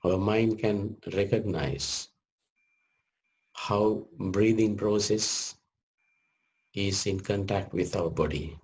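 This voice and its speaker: A man leading a guided meditation as a teacher, speaking gently in short measured phrases with long pauses. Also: lowish voice, rough recording.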